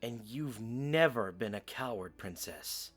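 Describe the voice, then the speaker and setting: A man's voice: on the low side, animated, takes it slowly, a bit bright, soft background music, full character voice. A man playing a loyal young soldier in an audio drama, blunt, protective and earnest. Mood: earnest, encouraging. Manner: earnest, direct.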